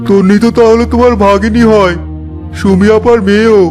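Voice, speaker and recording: A protective cartoon uncle, voiced by a man with a notably high voice for a man. He sounds anxious and watchful. Music runs under his lines. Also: fairly even intonation, a little fast, a little mellow, natural voice. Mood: worried. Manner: worried and protective. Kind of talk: cartoon voice acting. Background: background music.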